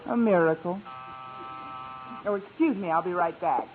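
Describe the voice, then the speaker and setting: An American actress playing a wry, romantic high school English teacher in a vintage radio sitcom. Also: female voice, normal speed, lightly acted. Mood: earnest. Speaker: lead actress in a radio comedy. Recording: tinny, noisy old radio broadcast.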